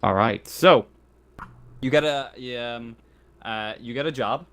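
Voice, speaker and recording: A man speaking as game master of a tabletop game, unscripted, hesitant and often correcting himself. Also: medium pitch. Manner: hesitant, halting, self-correcting.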